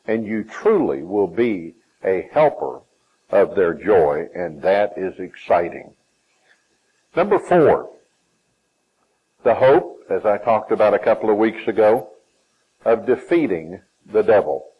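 Husky Southern preacher delivering a sermon. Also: male voice, very animated, slow, long pauses, phone-quality sound. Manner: emphatic preaching with rising and falling pitch and stretched phrases.

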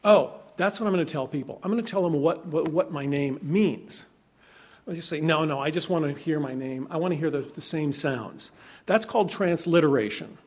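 A man preaching in a fairly high voice, teaching from scripture in a relaxed, conversational way. He reads verses aloud and swings between strong emphasis and soft, low asides. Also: normal speed. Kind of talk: sermon, Bible teaching.

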